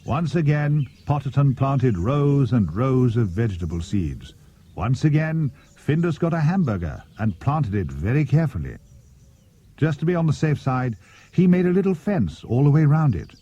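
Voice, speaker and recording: Animated male children's story narrator. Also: mid-range voice, moderate pace. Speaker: audiobook narrator. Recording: dull cassette tape transfer. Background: music.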